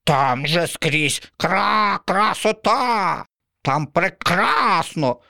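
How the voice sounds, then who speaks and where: Storybook crow: dreamy, huffy lady bird, drawn-out rapturous lines, voiced by a man. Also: high-pitched, lively intonation, vocal fry, sharp tone, no room sound, clean studio sound, voice pushed up, heavily acted. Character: dreamy female crow, dramatic and restless.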